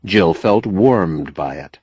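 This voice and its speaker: A man narrating a novel as an audiobook in a measured, expressive storytelling manner. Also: low-pitched, breathy edge, dry recording. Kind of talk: scripted literary narration.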